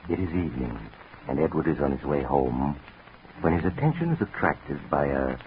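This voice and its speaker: Sinister storyteller, very low and ominous, deliberate and wry; 1940s radio horror, heavy noise. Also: male voice. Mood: grave narration. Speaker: host and narrator. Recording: noisy, narrow-band 1940s radio recording.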